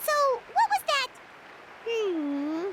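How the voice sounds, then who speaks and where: A woman voicing a perky, eager little fairy companion in a video game, with a squeaky childlike voice. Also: wildly swooping pitch, takes it slowly, heavy background noise, heavily acted. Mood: curious, earnest. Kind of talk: video game character dialogue. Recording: game music behind the voice.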